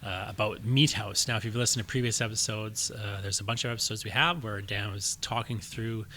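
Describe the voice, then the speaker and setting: A man hosting a writing podcast into a studio mic, his voice bright and forward. He talks casually and makes his points by posing leading questions. A faint hum sits behind him. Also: fairly low pitch, steady tone, a little fast. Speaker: podcast host. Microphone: studio microphone.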